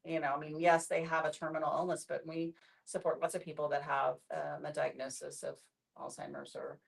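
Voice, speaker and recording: Woman, deep register with plenty of vocal fry, conversational and slightly halting as she explains. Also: flat delivery. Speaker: palliative care professional. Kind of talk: explaining to a discussion group.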